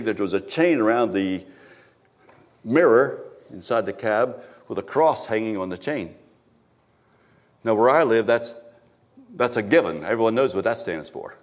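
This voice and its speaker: A man preaching a sermon at a church service, swinging from emphatic exhortation to low, stammered asides. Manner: rises to emphatic exhortation, then drops low, with stammered repetitions.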